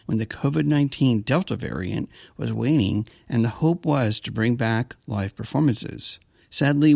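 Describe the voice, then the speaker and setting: A man with an American accent and a gravelly voice, hosting an interview program in a scripted presenting style. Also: medium pitch, a little mellow, no echo, studio quality. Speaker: podcast host and interviewer. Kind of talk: scripted introduction and interview narration.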